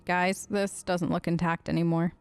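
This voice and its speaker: A woman's voice: on the low side, flat delivery, normal speed, gravelly, clear, resonant tone, soft background music. A woman hosting a let's play, chatting casually and playfully while role-playing her character.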